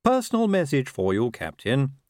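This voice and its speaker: A man's voice: medium pitch, wildly swooping pitch, moderate pace, a little mellow, no room sound, studio quality, pitched above the performer's own voice. A man voicing a dutiful, eager junior police constable in an audiobook, with a deferential way of talking.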